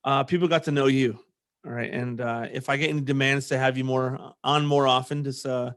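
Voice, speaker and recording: A man's voice: mid-range voice, steady tone, a little fast. A man hosting a podcast in casual, conversational chat, talking informally as an army sergeant. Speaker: podcast host.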